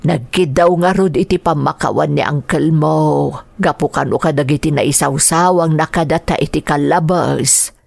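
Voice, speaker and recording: A woman playing a kindly, forgiving middle-aged mother in an Ilocano radio drama, with a creaky voice and a Filipino accent. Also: low-pitched, normal speed, darkish tone, no echo, full character voice. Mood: concerned, confiding. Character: kindly, grateful mother, an older sister figure, forgiving and earnest.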